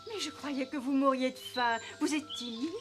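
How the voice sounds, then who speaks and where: A woman voicing a bustling, motherly housekeeper who fusses and scolds good-heartedly in a French animated film. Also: sits high, normal speed, natural voice. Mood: tender. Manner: fussing, motherly. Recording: music behind the voice.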